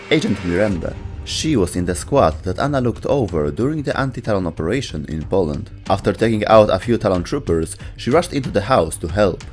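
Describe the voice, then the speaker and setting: Lively lowish-voiced man narrating. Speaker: video essay narrator. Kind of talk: scripted narration.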